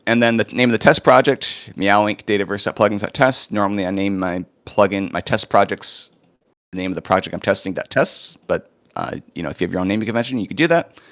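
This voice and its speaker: A man presenting a live coding demo, chatting casually with lots of fillers, his voice a bit hoarse as he recovers from illness. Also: deep voice, a bit bright, no echo. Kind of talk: live screen-share walkthrough.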